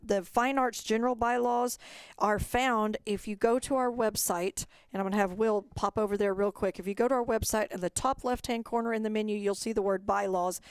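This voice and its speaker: Lady explaining rules on a webinar, polite, clear smooth voice, very dry sound. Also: medium pitch, steady tone, fairly quick, dry recording. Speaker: director of fine arts, presenter. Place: office, online webinar.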